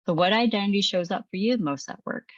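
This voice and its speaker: A woman in her thirties reading a training presentation in a calm, measured, reflective way. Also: medium pitch, no room sound, dull audio. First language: English. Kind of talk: scripted training narration.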